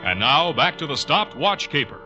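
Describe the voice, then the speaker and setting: A man's voice: a little high, big pitch swings, normal speed, muffled recording, loud music behind the voice. A man with an American accent announcing a 1940s radio show and its sponsor in a polished, emphatic sales style.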